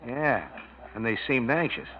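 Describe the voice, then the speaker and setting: A man's voice: medium pitch, a little slow, natural voice. An actor playing a chatty, friendly coffee shop counterman with casual, slangy talk in a 1940s radio drama. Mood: confiding. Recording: thin, phone-like old radio recording.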